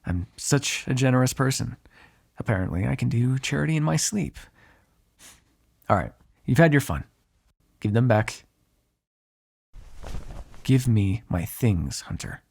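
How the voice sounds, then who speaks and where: A cynical, menacing vampire played by a man in an intimate audio roleplay. His voice is gravelly and husky at a middling pitch. He talks in sardonic, drawling asides, with a grudging fondness under the threat. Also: animated, moderate pace, pauses often. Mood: exasperated, dry. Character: ageless male vampire, cynical, menacing, grudgingly fond captive. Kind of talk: ASMR roleplay, one-sided dramatic dialogue.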